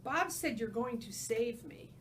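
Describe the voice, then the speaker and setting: A high, childlike young girl elephant from a storybook as a woman reads her aloud, innocent and easily frightened. She asks things hesitantly and pleadingly, slightly away from the mic with faint noise behind. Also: lively intonation, a little slow, far from the mic.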